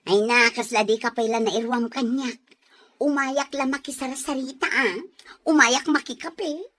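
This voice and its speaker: A woman's voice: high-pitched, steady tone, normal speed, fairly bright tone. An actress playing a chatty, meddlesome village woman in a radio comedy drama.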